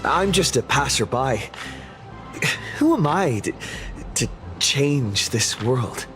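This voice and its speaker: A man's voice: medium pitch, big pitch swings, takes it slowly. A man playing a modest, easygoing young adventurer in an anime-style game. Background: game music.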